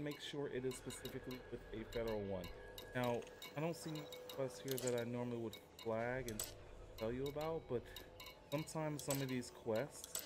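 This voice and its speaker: A man giving casual, halting commentary as he livestreams a game and walks viewers through it, thinking aloud. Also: medium pitch. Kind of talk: casual gameplay commentary and walkthrough. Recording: clearly noisy home stream audio.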